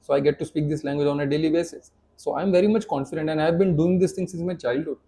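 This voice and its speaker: A man's voice: a little high, steady tone, fast, warm tone. A young man with an Indian accent, whose first language is Hindi, giving a casual spoken-English lesson to camera, earnest and full of repeats and fillers. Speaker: English teacher.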